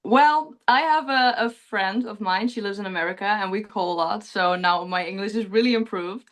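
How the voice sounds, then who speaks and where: A woman's voice: mid-range voice, moderate pace. A young woman with a Dutch accent, a pageant contestant answering interview questions in earnest, chatty talk over a video call, often laughing. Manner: earnest, chatty, laughs often, relives moments with lively quoting.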